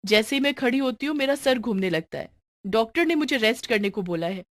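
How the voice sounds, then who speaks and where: A woman's voice: a little high, animated, brisk, dry recording, pitched above the performer's own voice, lightly acted. A scheming, work-shy young wife as a woman storyteller acts her wheedles in a slightly raised voice. She is theatrical and self-pitying, like a pampered character in a cartoon moral tale. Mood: indignant whining. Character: sly, lazy young elder daughter-in-law feigning illness.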